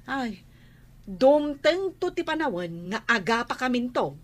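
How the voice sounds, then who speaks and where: High, plaintive young Ilocano wife. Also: female voice, big pitch swings, unhurried, heavily acted. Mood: aggrieved. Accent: Ilocano Filipino. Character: aggrieved young wife complaining about her husband. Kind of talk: Ilocano radio comedy drama acting.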